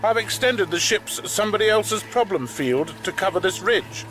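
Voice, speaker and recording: An actor playing a pompous, grave old alien starship commander in a radio drama. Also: male voice, high voice, expressive, moderate pace, fairly bright tone, soft background music, poor audio. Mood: lecturing.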